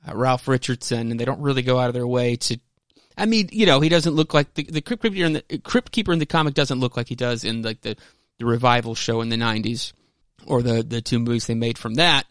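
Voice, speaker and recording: A man's voice: medium pitch, fairly quick, a bit bright, no echo, studio quality. A man hosting a podcast with casual, rambling commentary, often cutting himself off and swearing freely. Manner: rambling, hesitant, self-interrupting, profane. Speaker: podcast host.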